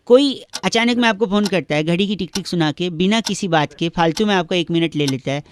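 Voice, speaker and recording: A man's voice: sits high, brisk, slight background noise. A man hosting radio prank calls, talking in a teasing, insistent, mock-polite way and sometimes reciting comic verse. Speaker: radio prank-call host.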